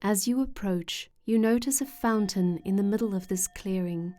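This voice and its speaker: A woman leading a scripted guided meditation in a gentle, soothing way with measured pauses. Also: medium pitch. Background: soft meditation music with singing-bowl tones.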